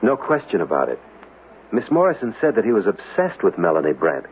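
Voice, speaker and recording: A man's voice: lowish voice, huge pitch swings, fairly quick. An actor playing an intent, inquisitive man in a radio drama, earnest, insistent and dramatic in delivery. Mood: intent, serious. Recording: tinny, noisy vintage radio broadcast.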